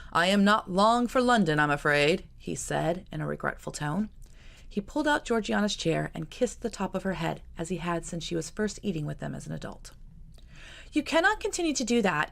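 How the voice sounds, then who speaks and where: A woman author reading her own novel aloud in a calm, measured storytelling manner. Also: on the low side, gravelly.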